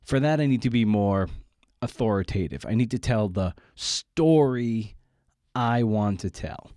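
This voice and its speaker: A man's voice: on the low side, animated, a little slow, dark tone, no echo, studio quality. A man hosting a podcast, talking informally in a candid, self-deprecating way. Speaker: podcast host.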